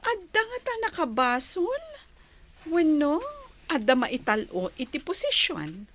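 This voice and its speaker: Woman playing a high, animated, nosy office employee. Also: unhurried, slight background noise, heavily acted. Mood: inquisitive. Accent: Ilocano. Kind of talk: radio drama acting.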